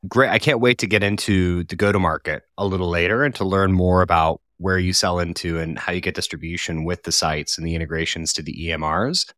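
Deep-voiced podcast host. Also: male voice, fairly even intonation, brisk, hardly any pauses, fairly bright tone, no echo, clean studio sound. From Colorado. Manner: upbeat, scripted-sounding intro then friendly questions. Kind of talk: podcast hosting and interviewing.

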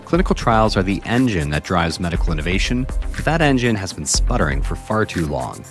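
A man hosting a podcast, reading scripted intros and then interviewing a guest in an upbeat, measured way. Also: sits low, sing-song, over music. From Colorado, US. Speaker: podcast host.

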